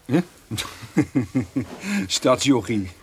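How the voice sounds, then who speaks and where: An actor playing a gruff, impatient dairy farmer with a brusque, commanding manner in a Dutch radio play. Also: male voice, medium pitch, sing-song, very slow, a little mellow, a little hiss or hum. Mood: relieved, businesslike.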